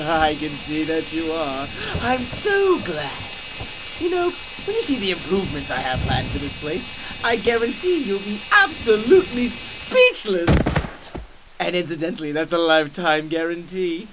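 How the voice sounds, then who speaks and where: A man's voice: fairly high pitch, noisy background. A man playing a gleefully sadistic villain clown in a radio drama, mock-polite and flamboyant, drawing out words and cackling.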